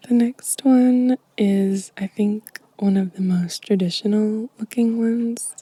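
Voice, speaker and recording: Breathy woman whispering slowly. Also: mid-range voice, steady tone, no echo. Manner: gentle, unhurried. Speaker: ASMR video host. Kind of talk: soft-spoken, whispered ASMR show and tell.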